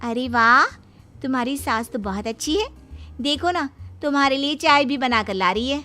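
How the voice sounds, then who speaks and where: A woman's voice: high-pitched, normal speed, bright, forceful tone, faint music behind. A woman voicing a cheerful, admiring young female friend with a bubbly, impressed manner.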